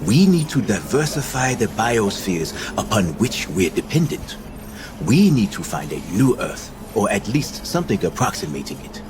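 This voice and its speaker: Cynical visionary leader, animated delivery. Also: male voice, lowish voice, normal speed, music in the background. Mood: grave, resolute. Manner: theatrical, declamatory, dismissive. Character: visionary male project leader, cynical, world-weary, grandly persuasive. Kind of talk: science fiction audio drama acting.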